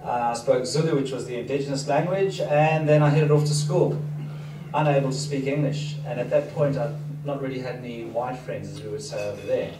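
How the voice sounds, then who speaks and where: A man's voice: mid-range voice, steady tone, a little fast. A man raised in Africa, Danish his first language, with a slight foreign accent, giving a chatty, self-deprecating seminar talk to an audience. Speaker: seminar speaker. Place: event hall with audience. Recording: roomy and distant, noisy hall.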